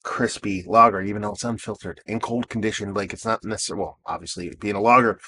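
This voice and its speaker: A man in his thirties, hoarse from losing his voice, casually reviewing a beer with rambling, self-deprecating asides and lots of fillers. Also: on the low side, fairly even intonation, fast talker, bright, forceful tone, no room sound. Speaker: beer reviewer and show host. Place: outdoors.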